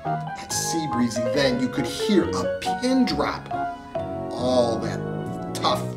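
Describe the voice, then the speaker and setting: Animated American man reading a storybook. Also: medium pitch. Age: middle-aged. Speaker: school communications director reading aloud. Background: music.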